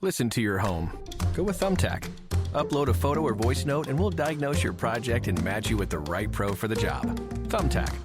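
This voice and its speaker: A man's voice: medium pitch, sing-song, moderate pace, natural voice. A man reading a polished commercial voice-over as an announcer. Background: music.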